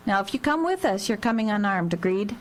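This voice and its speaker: A woman's voice: mid-range voice, sing-song, a little fast, lightly acted. A woman playing a wry, authoritative small-town sheriff in a live radio drama. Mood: brisk, official. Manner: dry, authoritative. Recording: noisy live radio broadcast, hum.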